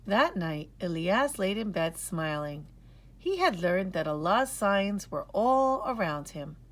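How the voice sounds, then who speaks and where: Slow, expressive woman reading to children. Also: medium pitch. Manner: expressive, measured, careful enunciation. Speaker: children's storybook reader. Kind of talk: scripted read-aloud narration.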